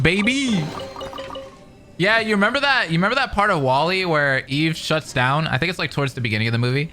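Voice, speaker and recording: Casting: man for casual gaming reaction commentary, expressive and colloquial, music in the background. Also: a little high. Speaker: gaming streamer reacting to a story quest.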